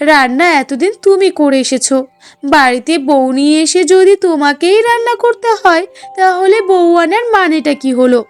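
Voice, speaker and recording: A woman's voice: very high-pitched, lively intonation, normal speed, dry recording, soft background music. A woman voicing a spiteful, whiny young sister-in-law in a cartoon, scolding and demanding. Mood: indignant, complaining. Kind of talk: Bengali cartoon dialogue.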